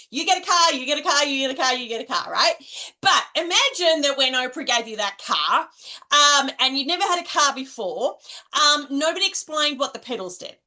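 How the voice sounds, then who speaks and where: A woman's voice: high-pitched, bright, forceful tone. A middle-aged Australian woman coaching in a chatty monologue, tagging nearly every point with "right?". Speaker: direct selling coach. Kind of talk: casual coaching monologue to camera.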